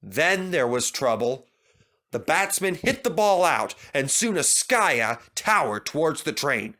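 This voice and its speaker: Male storyteller, higher-pitched and bright, expressive children's narration. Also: measured pace.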